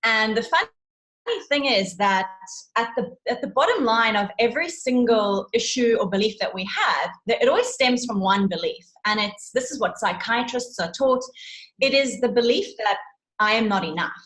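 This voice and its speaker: A South African woman speaks as a guest coach in a fairly high voice. Her delivery is conversational and earnest, stressing key points as she explains. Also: lively intonation, normal speed, fairly bright tone. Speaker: interview guest, self-love and confidence coach.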